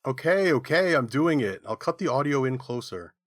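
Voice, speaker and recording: A man's voice: medium pitch, expressive, moderate pace, no echo. A man playing himself in a comedic audio skit with a playful, punning manner.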